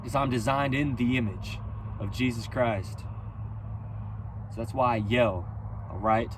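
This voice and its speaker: A man delivering a rhythmic, rhyming spoken-word freestyle with a preachy, emphatic and loud delivery. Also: medium pitch, measured pace, noisy background. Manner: loud, near-yelling delivery.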